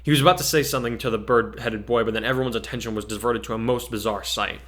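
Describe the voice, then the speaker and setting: A man's voice: medium pitch, fairly even intonation, fast talker, a bit bright. A man reading scripted fiction aloud as a narrator, in a dramatic story-reading style.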